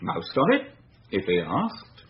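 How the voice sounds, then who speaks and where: Shady bar keeper, guarded and noncommittal. Animated pitch, muffled radio drama sound. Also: male voice, slow pace, full character voice. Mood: evasive, guarded. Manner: guarded, hesitant, offhand. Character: evasive, shifty male bar owner with criminal record. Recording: dull radio recording with background noise.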